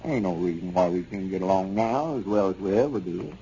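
A man's voice: low voice, fairly quick, warm tone, lightly acted. An actor playing a stubborn, folksy small-town businessman with a rural Southern accent in a 1930s radio comedy. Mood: conciliatory. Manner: folksy, argumentative. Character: stubborn, self-justifying small-town male business partner. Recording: noisy, muffled 1930s radio recording.

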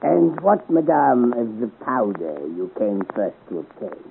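A servile, scheming potion seller in a period radio drama. His gravelly voice is ingratiating and hesitant. The vintage recording is dull and clearly noisy. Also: mid-range voice, big pitch swings, a little slow. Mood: prying, servile. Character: sly, obsequious potion seller, servile go-between for villainess. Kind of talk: 1950s radio serial acting.

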